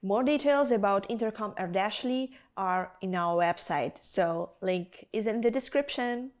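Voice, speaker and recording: A woman's voice: mid-range voice, a little slow. A young adult woman presenting a scripted promotional product review, addressing viewers directly with rhetorical questions. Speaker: video presenter. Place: indoor studio with plain backdrop.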